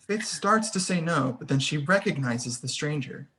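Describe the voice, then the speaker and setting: A woman's voice: low-pitched, lively intonation, moderate pace, a bit bright. Woman, narrator, reading scene descriptions, scripted screenplay delivery. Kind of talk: reading screenplay stage directions.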